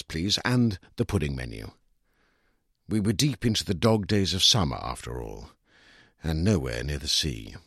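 Guy reading a short story, lowish voice, super animated, a bit husky, sounds dry and put out. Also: no room sound. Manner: dry, aggrieved, self-justifying, expressive character reading. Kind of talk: literary audiobook narration in first person.

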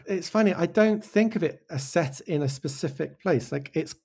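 A man with an English accent, from Wales, giving casual, reflective interview answers as a show creator, often halting and restarting with real conviction. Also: on the high side, creaky, darkish tone, husky. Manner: halting, self-interrupting, passionate about own work.

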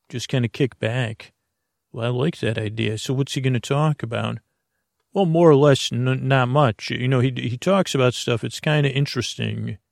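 A man with a creaky voice hosting a bedtime podcast in a soothing, meandering monologue that halts and loses its thread. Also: mid-range voice, a little mellow, no echo, studio quality. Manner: meandering, halting, losing his thread; soothing, lulling. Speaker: podcast host.

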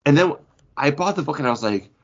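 A man's voice: a little high, lively intonation, quick pace, gravelly. A man co-hosting a podcast, chatting casually in a rambling, jokey way. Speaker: podcast co-host. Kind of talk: casual comic book podcast chat. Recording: dull, lo-fi audio.

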